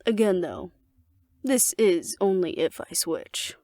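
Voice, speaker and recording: A woman acts out a female alpha werewolf for an audio roleplay, with a lowish voice full of gravelly fry. She is cocky and flirtatious, teasing the listener with wry confidence. The sound is dry and close. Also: very animated, takes it slowly, mellow, no echo, heavily acted. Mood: smug, playful bargaining. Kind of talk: intimate audio roleplay acting addressed to the listener.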